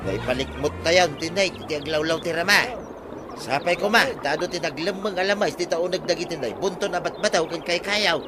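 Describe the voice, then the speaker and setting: A man's voice: on the high side, fairly quick, loud music behind the voice, close to an everyday voice. A man playing an eager village fisherman in a comedy radio drama, speaking with an Ilocano accent. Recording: loud water-like outdoor ambience behind voice.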